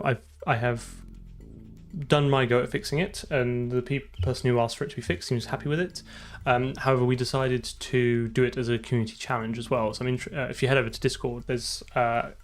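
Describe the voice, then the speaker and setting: A man chatting casually on a live coding stream, thinking aloud in an informal way. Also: mid-range voice. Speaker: streamer and game programmer.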